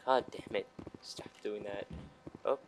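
A man's voice: fairly low pitch, steady tone, measured pace, a little hiss or hum, poor audio. A man giving casual, profane, joking let's play commentary with mock exasperation. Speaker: gaming channel host.

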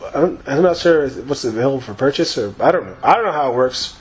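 A man giving loose, profane, rambling commentary over his own gameplay, his voice slightly hoarse after a long session. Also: medium pitch, expressive, fast talker, poor audio. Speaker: gaming YouTuber.

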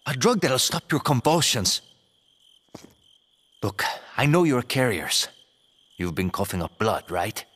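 Lively, roguish charmer. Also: male voice, medium pitch, unhurried, pauses often, slightly rough, heavily acted. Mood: urgent. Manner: teasing, expressive, sardonic. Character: cocky, roguish, flirtatious male ally with a secretive streak. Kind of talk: video game cutscene acting.

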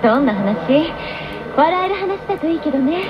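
A woman's voice: sits high, lively intonation, moderate pace, noisy background. An actress playing a cool, teasing, aloof teenage girl with a dry, offhand manner in a radio drama. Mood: cold. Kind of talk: 1990s radio drama acting. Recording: muffled AM radio broadcast.

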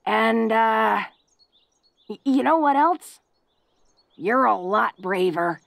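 A woman's voice: fairly high pitch, lively intonation, slow pace, creaky, no room sound, studio quality, exaggerated voice. A woman playing a tough but awkward, tender-hearted young female orc in a romantic audio roleplay, speaking in a folksy, hesitant, earnest way. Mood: shy, affectionate.

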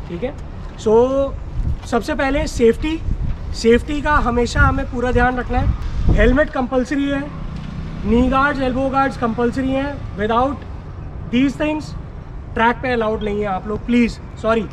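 A man's voice: very high-pitched, a little slow. A man briefing a group of riders on safety rules outdoors, giving instructions and often checking that they follow along. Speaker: event instructor. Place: outdoors at a go-kart track. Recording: outdoor recording with traffic noise.

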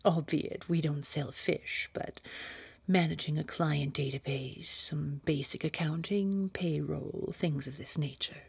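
A woman playing a poised, sinister boss in an audio roleplay, speaking formally in a hushed, husky, measured and quietly controlling way. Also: sits low, steady tone, no room sound, heavily acted. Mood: businesslike. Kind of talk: scripted audio roleplay acting.

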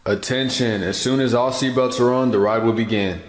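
A man playing an indifferent amusement park ride operator with flat, unbothered announcer patter. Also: medium pitch, normal speed, dry recording, natural voice, low-quality recording. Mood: announcing.

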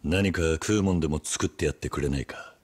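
A man acting a stoic, guarded but protective yakuza in a Japanese video game drama, speaking in a terse, gruff, blunt way. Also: rumbling low, brisk, a little mellow, no echo. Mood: guarded, serious.

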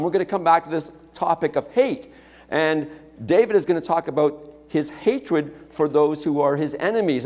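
Middle-aged man, preacher, conversational sermon, fairly high voice, long church reverb. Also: darkish tone. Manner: conversational preaching with frequent "okay?" and "right?" asides. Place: church sanctuary, large indoor hall.

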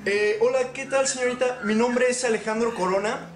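A courteous young man making a hesitant, polite phone pitch, recorded in an echoey living room. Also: steady tone, normal speed, crowd noise. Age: young adult. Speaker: prank caller. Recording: echoey room, clearly noisy replayed video.

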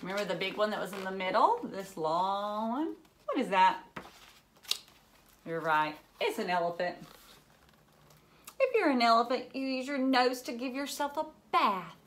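A woman's voice: medium pitch, lively intonation, moderate pace, pauses often, fairly bright tone, a little hiss or hum. A woman in her thirties reading a picture book aloud to young children, with exaggerated wonder and lots of questions. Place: indoors, furnished living room.

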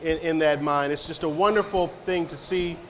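A man's voice: fairly high pitch, normal speed, a bit bright. A middle-aged man answering audience questions in a science center hall, friendly and rambling with many fillers and restarts. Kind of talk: informal public Q&A talk. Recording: reverberant hall, faint background noise.

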